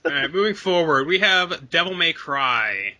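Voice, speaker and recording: A man's voice: on the high side, lively intonation, moderate pace, pushed and bright. A man co-hosting a casual gaming podcast, joking around and swearing freely. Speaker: podcast co-host.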